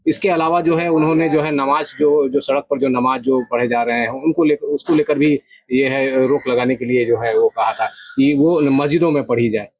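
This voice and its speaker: Halting male reporter, medium pitch. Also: fairly even intonation, darkish tone, no echo. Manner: halting, filler-laden phrasing. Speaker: news reporter. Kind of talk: street interview questioning.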